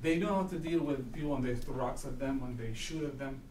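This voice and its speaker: A man from Palestine speaks at a brisk clip, earnest and halting, starting sentences over. He sounds distant in an echoey room, with steady background noise under his voice. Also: mid-range voice. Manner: earnest, halting with many restarts. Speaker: audience member speaking at a talk. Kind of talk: public Q&A comment.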